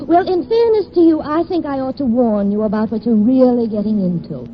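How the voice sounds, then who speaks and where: An actress playing a poised, wry wife with arch, knowing irony, in the manner of a 1940s radio drama. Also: female voice, fairly high pitch, lively intonation, fairly quick, slight background noise, lightly acted. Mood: composed, dry. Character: poised, wry wife facing her rival. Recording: dull 1940s radio broadcast.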